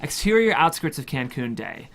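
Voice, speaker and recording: A man's voice: fairly high pitch, very animated, moderate pace, creaky, fairly bright tone. A man reading a screenplay's stage directions as scripted narration. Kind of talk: scripted narration at a table read.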